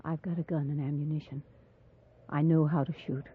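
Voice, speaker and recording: An actress playing a stoic, grieving frontier mother in her thirties in a 1950s radio drama, solemn and halting, with a breathy edge. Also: low voice, flat delivery. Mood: composed. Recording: muffled vintage 1950s radio recording.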